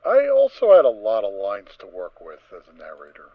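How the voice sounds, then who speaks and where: A man with a lowish, animated voice chats casually as one of a voice cast. His pitch moves around a lot, and the voice chat sounds a bit dull. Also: fairly quick, no room sound. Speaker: narrator in a voice cast.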